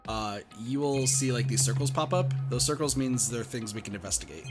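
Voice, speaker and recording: A man's voice: medium pitch, expressive, brisk, over music. A man hosting a casual gameplay session, explaining things to his friends as he plays. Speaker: host playing a visual novel with friends.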